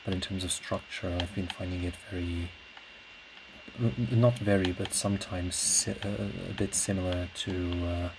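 Low, even-voiced man rambling reflectively. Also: normal speed. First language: Portuguese. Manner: hesitant, reflective, searching for words. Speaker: podcast host; classical singer. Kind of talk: casual solo rambling monologue. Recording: clear steady background noise.

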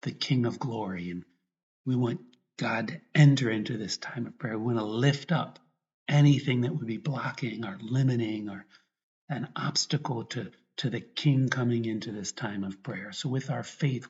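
A man, a priest, guiding a meditation and prayer in a reflective way, often pausing mid-thought. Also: medium pitch. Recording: dull, lo-fi recording.

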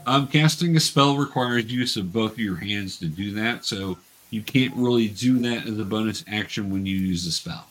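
A man's voice: on the low side, normal speed, few pauses, fairly bright tone, slight background noise. A man running a tabletop game for young beginners as game master, patiently explaining each step.

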